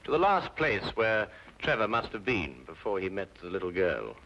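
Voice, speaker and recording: Logical Victorian detective, British, animated intonation, precise, assured, drily deductive, muffled vintage radio drama. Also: male voice, fairly low pitch, moderate pace, full character voice. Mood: analytical. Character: brisk, brilliant, coolly logical male Victorian London detective. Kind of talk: old-time radio drama acting. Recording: dull, noisy old radio drama recording.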